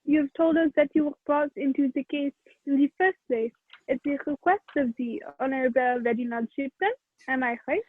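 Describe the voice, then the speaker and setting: A woman's voice: sits high, moderate pace, no echo, natural voice. A woman playing a stern, authoritative male police prefect, formal and commanding, in a radio play. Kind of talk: 1940s radio play acting. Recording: tinny phone-like narrow band.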